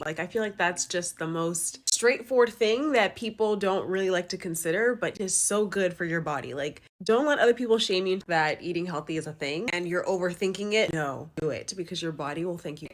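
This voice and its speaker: A hoarse woman giving upbeat, persuasive lifestyle tips in a vlog. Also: lowish voice.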